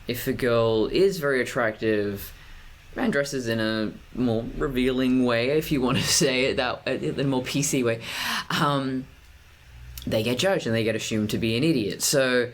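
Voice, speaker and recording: A young man with a deep, mid-pitched voice, talking to his camera in a personal vlog. He picks his words with care and paces them deliberately. He often restarts a phrase before carrying on. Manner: deliberate, measured pacing with frequent restarts. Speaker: vlogger.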